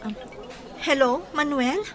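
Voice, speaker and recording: A woman's voice: sits high, takes it slowly, fairly bright tone, a little hiss or hum. A woman playing a sensitive young woman in a Filipino audio drama. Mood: worried. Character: sensitive young woman, loyal friend, easily hurt in love.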